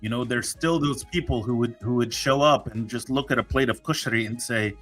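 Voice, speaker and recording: Man, podcast host, bright forceful voice, casual joking chat, lots of ums and restarts, faint music behind. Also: mid-range voice, fairly quick. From United States. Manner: chatty, joking, many fillers and restarts. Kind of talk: casual solo podcast talk.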